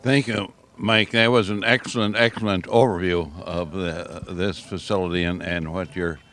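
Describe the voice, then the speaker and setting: A man's voice: sits low, fairly even intonation, moderate pace, fairly bright tone, a little hiss or hum. A man interviewing a staff member outdoors, brief and appreciative, a little off the mic.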